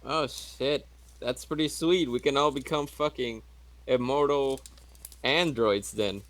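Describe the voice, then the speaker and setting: A man's voice: medium pitch, slow, a bit bright. A man chatting casually, with some swearing, as a player in a tabletop game.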